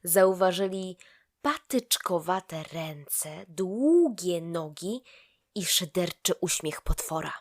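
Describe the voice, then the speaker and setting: Slow-paced woman narrating a children's story. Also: on the low side. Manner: expressive, deliberate.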